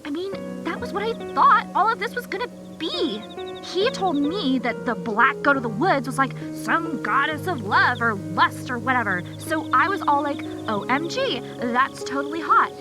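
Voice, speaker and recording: A woman's voice: high voice, expressive, normal speed. A woman playing a sassy, lovesick young ex-cultist in a visual novel game, with slangy, dramatic phrasing. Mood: wistful, dejected. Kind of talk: animated visual novel acting. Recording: game audio with music behind.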